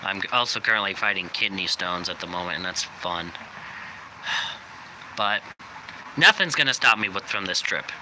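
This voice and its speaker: A young man hosting a channel, giving a rambling casual update with many fillers, his voice slightly raspy from coughing. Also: sits low, lively intonation, normal speed, long pauses, sharp tone. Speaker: channel host. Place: outdoors, people walking by. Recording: noisy outdoor recording.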